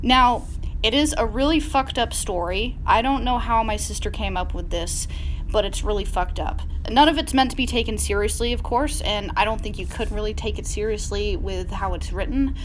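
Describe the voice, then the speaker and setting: A woman's voice: medium pitch, low-quality recording. A woman hosting and narrating a theatrical reading of parody fan fiction. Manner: theatrical, deliberately garbling misspelled words. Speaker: channel host and narrator. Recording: clear background noise.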